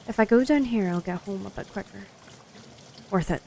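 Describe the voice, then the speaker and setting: A woman's voice: mid-range voice, normal speed, creaky, noisy background, close to an everyday voice. A young woman playing a wary, dryly sarcastic college student in an audio drama. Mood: dry, casual. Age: young adult. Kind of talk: audio drama acting with self-talk.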